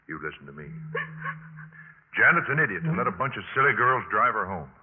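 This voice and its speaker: A man's voice: lowish voice, sing-song, brisk, slightly rough, big-room sound. An actor playing a blunt, wry but reassuring young American man at college in 1950s radio drama style. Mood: firm, teasing. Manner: matter-of-fact, teasing. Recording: muffled, tinny 1950s radio recording.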